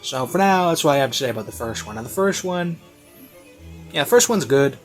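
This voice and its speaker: A man's voice: mid-range voice, animated, a little fast. A man giving a casual, unscripted review in a rambling, hesitant way with lots of fillers. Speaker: online reviewer. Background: classical music.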